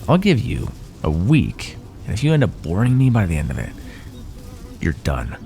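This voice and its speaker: Deep, echoing male naga, acted by a man. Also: wildly swooping pitch, moderate pace, vocal fry, mellow, a little hiss or hum, heavily acted. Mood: aloof, amused. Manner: casual, condescending, dry teasing. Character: male naga predator, bored, teasing, menacing cave-dweller. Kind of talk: ASMR fantasy audio roleplay. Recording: echoey, long cave-like reverb.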